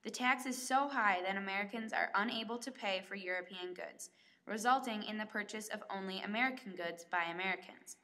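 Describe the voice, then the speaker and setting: Woman playing bright-voiced 1930s radio newswoman. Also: medium pitch, a little fast, close to an everyday voice. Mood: earnest. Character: 1930s radio newswoman reporting business and entertainment. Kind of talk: scripted 1930s radio news reading.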